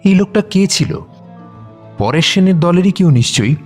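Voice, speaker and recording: A man's voice: high voice, very animated, normal speed, breathy edge, heavily acted. A man acting a curious, earnest young city visitor in an audio drama, with music behind him. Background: music bed with cricket sounds.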